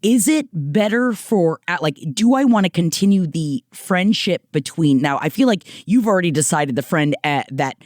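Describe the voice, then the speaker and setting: A woman's voice: fairly low pitch, lively intonation, normal speed, hardly any pauses, a little mellow, no room sound, clean studio sound. A woman co-hosting a casual podcast, supportive and chatty, rambling with restarts as she responds to a caller. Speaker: podcast co-host. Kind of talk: casual chat.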